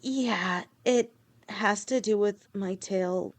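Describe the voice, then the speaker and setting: A woman's voice: mid-range voice, slow, dry recording, natural voice. A woman voicing a doting adult female lamia girlfriend in a listener-directed audio roleplay, teasing with pet names and stammering when flustered. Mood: cheerful, doting. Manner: stammers and rambles when nervous. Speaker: voice actor.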